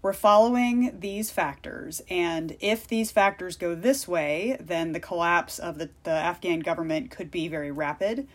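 A woman's voice: lowish voice, a little slow, hardly any pauses. A woman speaking as an expert interview guest, explaining things in a plain-spoken way. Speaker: expert guest, security policy analyst.

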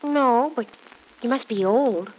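A woman's voice: on the high side, takes it slowly, husky, poor audio. An actress playing an eager, naive, gushing young girl in an old radio soap, on a vintage recording. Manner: gushing, girlish slang. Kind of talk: 1940s-50s radio soap acting.

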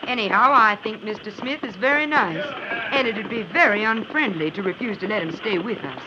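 A woman's voice: medium pitch, lively intonation, a little fast, natural voice. A woman playing a kindly, trusting pioneer wife in an old radio western, polite and earnest. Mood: indignant. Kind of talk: 1940s-50s radio western acting. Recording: muffled noisy vintage radio recording.

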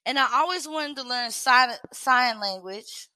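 Slow, bright-voiced young woman, casual livestream host, dry close sound. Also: high-pitched, lively intonation, no echo, poor audio. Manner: chatty, reads questions aloud and answers them frankly. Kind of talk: casual livestream self-reflection.

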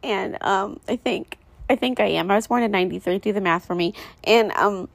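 A woman's voice: mid-range voice, fairly quick, gravelly, no room sound. A woman in her late twenties, chatting casually and rambling to her viewers about motherhood, with lots of fillers. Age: late 20s. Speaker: vlogger. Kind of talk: casual chat to camera.

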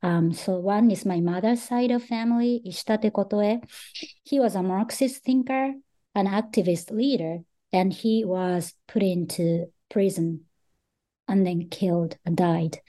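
Japanese-accented woman academic, soft mellow tone, thoughtful and halting in interview. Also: medium pitch. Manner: thoughtful, with frequent "um" and "you know". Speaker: author guest, associate professor. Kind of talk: podcast interview.

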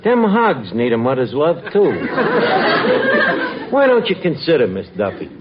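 Lively wisecracking New schemer. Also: male voice, a little high, unhurried, a loud crowd. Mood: wheedling. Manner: ungrammatical, hesitant, wheedling. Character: scheming, wisecracking lowbrow city guy, male. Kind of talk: 1940s radio comedy acting. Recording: noisy dull old radio recording.

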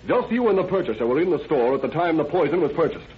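A man's voice: fairly high pitch, sing-song, fast, slight background noise. A man playing a pressing, formal defense attorney in an old-time radio drama. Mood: insistent, challenging. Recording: muffled vintage radio recording.

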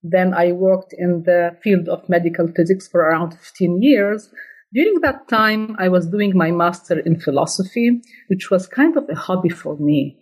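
A woman's voice: on the low side, normal speed, darkish tone, husky, low-quality recording. A middle-aged woman being interviewed about her book, answering carefully with frequent restarts. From born in Slovenia, raised in Jordan. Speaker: guest author, ethics scholar.

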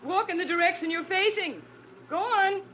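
A woman playing a cool, commanding femme fatale in a classic radio drama, giving crisp orders with mocking politeness. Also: very high-pitched, moderate pace, a bit bright, lo-fi sound, slight background noise.

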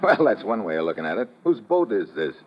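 An actor playing a wry, tough American government agent with an American accent, deadpan and wisecracking in hardboiled old radio drama style. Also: male voice, on the low side, fast talker, a little hiss or hum. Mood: amused, friendly. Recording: dull old radio recording, music behind.

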